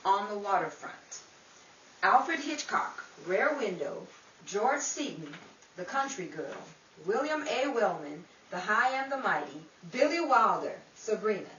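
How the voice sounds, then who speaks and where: A woman's voice: mid-range voice, big pitch swings, measured pace, a bit bright, dull audio. A woman presenting awards, reading out a scripted list of nominees. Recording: distant mic, echoey room, clear crowd noise.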